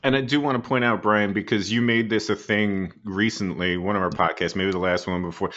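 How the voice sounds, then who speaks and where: Low-voiced man with a faint New York accent. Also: fairly even intonation, brisk, a bit bright, muffled recording. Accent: New York, softened. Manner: jokey, easygoing, laughs often. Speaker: podcast co-host. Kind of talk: casual podcast chat.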